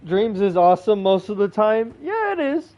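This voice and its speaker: A man's voice: sits high, sing-song, normal speed, warm tone. A man giving casual commentary while playing a video game. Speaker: gamer. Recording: dull lo-fi mic, faint music.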